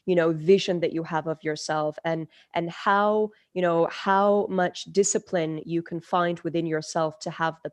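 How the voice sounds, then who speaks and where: A woman's voice: fairly low pitch, a little mellow, no echo. A woman from Turkey, a spiritual teacher, telling her life story in a podcast interview, reflective with many fillers and restarts.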